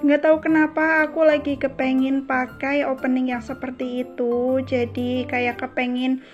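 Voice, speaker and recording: A woman's voice: high-pitched, flat delivery, normal speed. A woman from Cilacap in Indonesia with a Javanese Ngapak accent, chattily narrating a casual homemaker vlog and often addressing her viewers as friends. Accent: Javanese Ngapak (Banyumasan, Cilacap). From Cilacap, Central Java, Indonesia. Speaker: homemaker vlogger. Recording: slightly dull voice-over under music. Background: background music.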